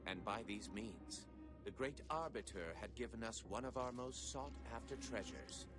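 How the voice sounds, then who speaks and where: An actor playing a reverent alien chronicler, narrating sacred history formally in a video game cutscene. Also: male voice, medium pitch, animated, normal speed, natural voice. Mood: reverent, stately. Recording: game audio with music underneath.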